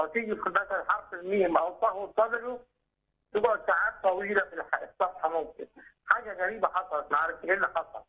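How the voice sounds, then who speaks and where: A middle-aged Egyptian man on a thin telephone line, with a high, rough-edged voice. He talks rapidly as a caller explaining a problem and seeking advice. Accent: Egyptian Arabic. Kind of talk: phone consultation.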